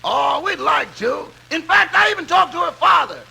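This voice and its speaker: An actor playing a put-upon, wisecracking household servant with a rasping voice and dry, punchy timing in 1950s radio comedy. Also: male voice, very high voice, animated, normal speed, bright, forceful tone, heavily acted. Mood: dryly comic. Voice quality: very gravelly, rasping. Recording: noisy vintage radio recording.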